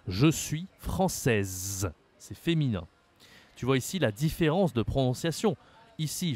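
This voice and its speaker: A man from France teaching a French lesson, speaking patiently, repeating words and spelling them out letter by letter. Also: mid-range voice. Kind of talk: slow, carefully articulated language lesson.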